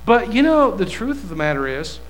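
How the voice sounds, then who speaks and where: A man in his fifties from West Virginia, preaching a sermon in a folksy, animated way with asides to the congregation. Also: high voice, brisk, slight background noise, low-quality recording. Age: 50s. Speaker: pastor.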